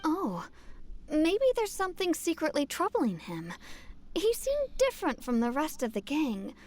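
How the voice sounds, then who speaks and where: A woman voicing an anxious middle-aged village mother in a video game, gentle, earnest and pleading. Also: high voice, wildly swooping pitch, unhurried, a little hiss or hum, exaggerated voice.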